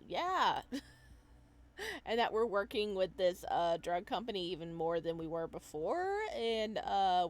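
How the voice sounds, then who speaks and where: A woman hosting a gaming video, reading a visual novel aloud and adding casual, animated commentary. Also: mid-range voice.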